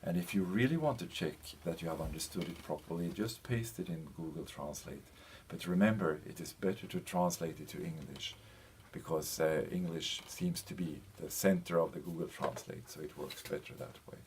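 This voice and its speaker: A man presenting an informal slide lecture as a teacher, speaking in a hesitant, halting way with frequent pauses. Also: low voice, darkish tone. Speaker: university lecturer presenting a lesson. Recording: clear background noise.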